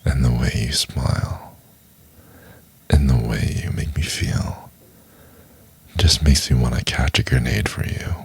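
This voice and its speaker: A man acts out an obsessive, tender yandere boyfriend in an ASMR roleplay. The voice is extremely low and breathy with a gravelly crackle, coaxing slowly and intimately. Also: fairly even intonation, exaggerated voice. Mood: adoring, tender. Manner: slow, coaxing, intimate, lingering on words.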